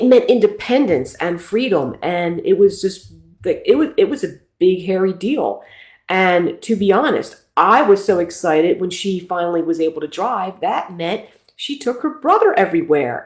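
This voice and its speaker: A woman's voice: mid-range voice, a little mellow, reverberant. A middle-aged woman from Philadelphia, a nurse practitioner hosting a casual livestream talk in a chatty, self-deprecating way.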